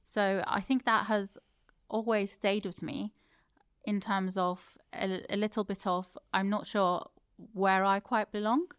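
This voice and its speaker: A woman's voice: medium pitch, fairly even intonation, measured pace, clean tone, no room sound, studio quality. A woman in her thirties, born in Turkey and raised in the UK, speaking thoughtfully as an interview guest. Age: 30s. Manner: thoughtful, with frequent self-corrections. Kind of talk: reflective interview conversation.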